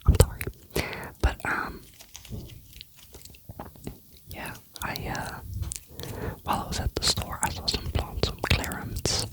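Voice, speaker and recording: A vlogger telling a rambling, chatty personal story in a soft ASMR whisper. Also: slow pace, pauses often, poor audio. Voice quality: whispering throughout.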